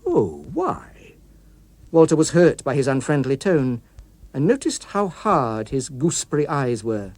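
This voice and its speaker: A man's voice: medium pitch, animated, moderate pace, a little mellow, no room sound. A man with a British accent narrating a scripted story as a dramatic audiobook reader.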